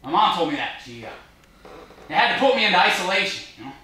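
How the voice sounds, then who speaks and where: A man in his thirties on a theater stage, playing a folksy, excitable fisherman with an informal, interjecting manner. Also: high voice, fast, heavily acted. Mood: halting, reflective. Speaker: stage actor in a solo show. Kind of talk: theatrical monologue in character. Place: theater stage before a seated audience. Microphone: distant camera. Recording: echoey theater, distant camera microphone.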